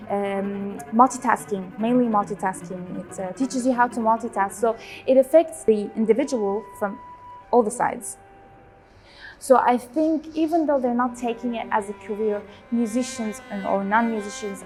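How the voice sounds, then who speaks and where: A woman in her twenties, born in Syria, a cellist and teacher speaking in a relaxed interview, with many pauses and fillers. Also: fairly high pitch. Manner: hesitant, many fillers, warm about music. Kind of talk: relaxed personal interview answers.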